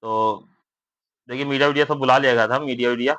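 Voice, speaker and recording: A man's voice: mid-range voice, steady tone, quick pace, a bit bright. A young man giving viewers an informal spoken update, conversational and often checking for agreement with tag questions. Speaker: vlogger giving recruitment updates. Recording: dull lo-fi recording.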